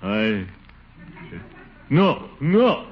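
A blustering flustered bachelor. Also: male voice, medium pitch, very animated, very slow. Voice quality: blustering, sputtering. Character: pompous middle-aged bachelor, flustered suitor. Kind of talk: 1940s radio acting. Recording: dull old radio broadcast, faint hiss.

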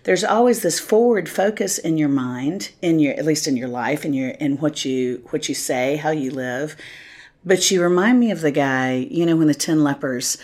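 A woman with a very low speaking voice hosts the conversation, chiming in with steady affirmations. She asks long, reflective questions, speaking deliberately and warmly to her guest. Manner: affirming, frequent "right" and "yeah" while listening. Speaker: host. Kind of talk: interview conversation.

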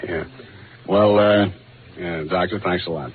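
An actor playing a tough, wisecracking private detective in a brusque, slangy 1940s radio style. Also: male voice, sits low, steady tone, unhurried, a little hiss or hum. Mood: dry. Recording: muffled old radio recording with music.

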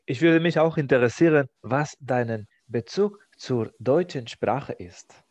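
A man hosting a language-learning podcast, speaking as a learner. He works through a mock exam talk, halting and searching for words. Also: mid-range voice, darkish tone. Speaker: podcast host.

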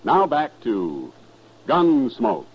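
American male radio announcer, hugely lively intonation, husky, folksy vintage sales pitch, muffled old recording. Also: drawn-out words, heavy background noise. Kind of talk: 1950s radio advertising pitch.

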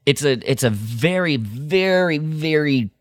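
A man co-hosting a podcast, discussing a drama casually and haltingly, often repeating words as he forms his point. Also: a little high, animated, no echo, studio quality. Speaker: podcast co-host.

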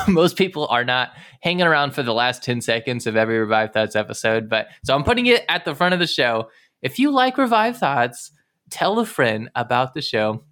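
A man's voice: medium pitch, fairly bright tone. A man co-hosting a podcast in casual chat, rambling and rephrasing as he goes. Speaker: podcast co-host.

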